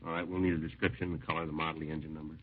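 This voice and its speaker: An actor playing a terse, businesslike police detective in a 1950s radio crime drama, speaking in a clipped, deadpan way. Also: male voice, very deep voice, very fast, background noise. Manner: clipped, deadpan, matter-of-fact. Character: terse, businesslike male police sergeant detective narrating his case. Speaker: detective and narrator. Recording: tinny old radio recording, music behind.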